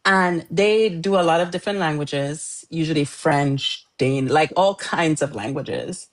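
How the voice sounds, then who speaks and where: Chatty low-voiced woman who laughs often. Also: unhurried. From Trinidad. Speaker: guest author. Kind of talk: casual interview chat.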